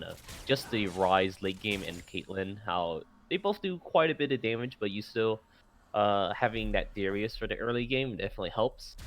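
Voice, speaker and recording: Low-voiced rambling male caster. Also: a bit bright, slight background noise. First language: Vietnamese. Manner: rambling, many filler pauses. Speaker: esports color caster. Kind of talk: analytic commentary.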